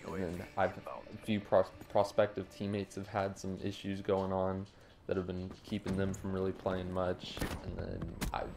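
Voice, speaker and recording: A young man with a sore throat, his voice hoarse, giving rambling, apologetic voice-over commentary over gameplay. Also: low voice, completely flat delivery, moderate pace, darkish tone, soft background music. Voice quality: hoarse, gravelly. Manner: rambling, apologetic, many ums. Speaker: gaming YouTuber. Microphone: home desk mic with a cough drop.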